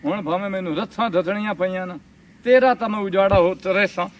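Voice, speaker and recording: A man's voice: sits high, fast, natural voice. A young man with a rural Pakistani Punjabi accent playing a stubborn goatherd suitor in a village comedy drama. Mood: resentful. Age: young adult. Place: outdoors in open village field and yard. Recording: distant outdoor camera sound.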